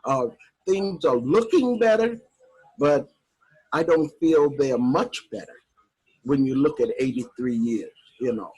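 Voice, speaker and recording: Husky woman in her eighties. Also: extremely low pitch, measured pace, darkish tone, low-quality recording. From Harlem, New York. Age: 80s. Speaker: workshop participant. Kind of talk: personal reflection on a video call.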